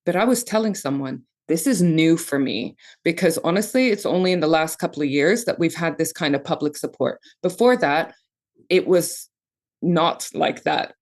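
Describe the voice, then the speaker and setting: A woman's voice: sits low, animated, normal speed, darkish tone. A woman in her early forties from Palestine, co-hosting a podcast in a casual chat and swearing freely as she talks. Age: 40s. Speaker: podcast co-host.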